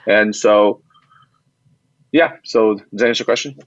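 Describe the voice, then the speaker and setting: Deep voiced guy explaining stuff in an interview, kinda halting, repeats words, muffled lo-fi sound. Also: no room sound. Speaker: company founder and CEO, interview guest. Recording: dull lo-fi band-limited audio.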